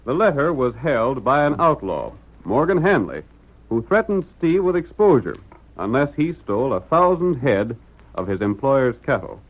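Animated old-time radio narrator, a man. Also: medium pitch, normal speed. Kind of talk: 1940s radio scripted narration. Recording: dull vintage radio recording.

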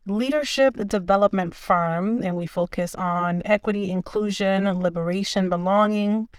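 A woman's voice: medium pitch, normal speed, no echo, studio quality. A woman from the Dominican Republic, a leadership coach and podcast guest, talking enthusiastically and laughing often. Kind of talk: casual interview chat.

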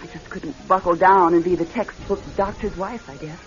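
A woman's voice: fairly low pitch, normal speed, natural voice. An actress playing a reserved, polite divorced career woman who also narrates her own story in a classic radio drama style. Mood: polite, guarded. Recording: dull, lo-fi old radio recording.

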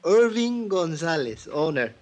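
Genial Mexican-accented taco joint owner. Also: male voice, fairly high pitch, very animated, unhurried, a little mellow. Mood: genial, joking. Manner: chatty, joking. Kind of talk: 1950s radio drama acting. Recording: noisy old radio recording with clicks.

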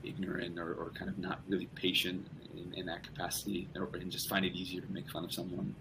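A man's voice: low voice, completely flat delivery, fast talker, noisy background. A young man as a podcast guest, talking casually in rambling sentences with many restarts. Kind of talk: casual conversation.

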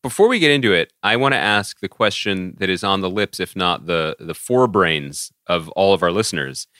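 American man, podcast co-host, very animated and bright, wordy deadpan-style banter with mock gravity. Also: deep voice, a little fast, dry recording, clean studio sound. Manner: wry, mock-serious, wordy. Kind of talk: casual banter.

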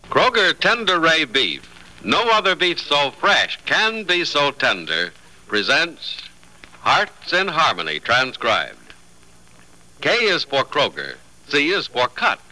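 A man's voice: medium pitch, pushed and bright, rough recording. A man announcing and narrating an old-time radio program in an emphatic, polished 1950s style. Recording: vintage radio broadcast recording.

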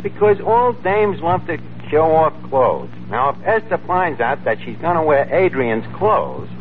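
Brash tavern manager, New York accent, high-pitched voice, slangy malapropisms, 1940s radio comedy, tinny noisy recording. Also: male voice, very animated, unhurried. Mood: confident. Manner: slangy, mangles words and grammar. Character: brash, word-mangling wise-guy tavern manager.

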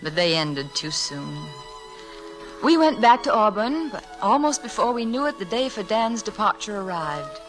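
An actress playing a gentle, earnest woman schoolteacher in theatrical, sentimental 1940s radio drama style. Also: medium pitch, big pitch swings, normal speed, faint music behind, lightly acted. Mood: reminiscing. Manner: tender, earnest, theatrical delivery.

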